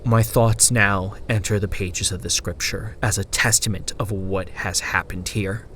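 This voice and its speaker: A man playing a haunted, dying survivor who gravely narrates his journal in a horror audio drama, deliberate and intense. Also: fairly low pitch, a bit bright, slight background noise. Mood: grim, resigned.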